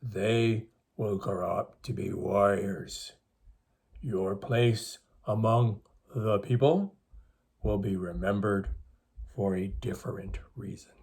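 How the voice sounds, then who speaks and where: Storybook reader doing a wise old-tale shaman, slow n solemn, kinda husky, kindly advice. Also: sits low, steady tone, darkish tone, voice pushed down, exaggerated voice. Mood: solemn, reassuring.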